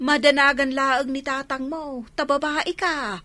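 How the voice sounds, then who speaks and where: A woman's voice: high voice, steady tone, unhurried, sharp tone, no echo. A woman playing a middle-aged village mother with an Ilocano accent, nagging and worried, admonishing in radio drama style. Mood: concerned. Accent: Filipino, Ilocano.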